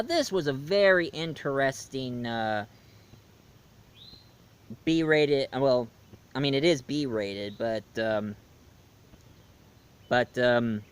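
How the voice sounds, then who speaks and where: A man hosting a casual movie review show, rambling with lots of fillers and restarts and swinging between loud bursts and quiet asides. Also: medium pitch, clear, resonant tone.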